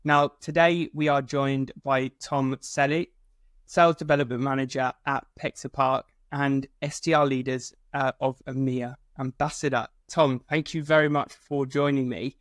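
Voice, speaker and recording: A man's voice: mid-range voice, level tone, moderate pace, no echo, studio quality. A man hosting a podcast interview, asking polite, encouraging questions. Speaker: podcast host.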